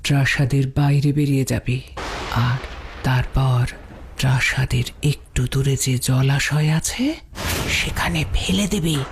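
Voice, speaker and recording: An actress playing a jealous, scheming elder queen in a fairy-tale drama, speaking in a deliberate, conspiratorial, commanding way. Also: rumbling low, fairly even intonation, creaky, background noise, exaggerated voice. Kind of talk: Bengali fairy-tale audio drama acting.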